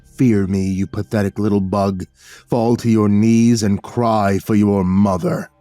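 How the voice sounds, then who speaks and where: A man doing a low, sneering demon lord. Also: fairly even intonation, dark tone, no echo, soft background music, natural voice. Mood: menacing. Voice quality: low, rumbling, drawn-out. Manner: slow, sneering, petulant. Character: sulky goth demon lord giant, male, scornful.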